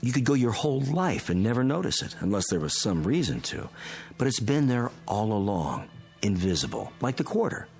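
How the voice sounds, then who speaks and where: A methodical scientist in a radio drama, with a low voice that moves expressively as he explains. He is deliberate and instructive, like someone reasoning through a mystery step by step. Faint music sits behind him. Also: big pitch swings, gravelly, darkish tone. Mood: explaining, intent. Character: calm analytical male physicist friend explaining a mystery. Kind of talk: modern radio drama acting.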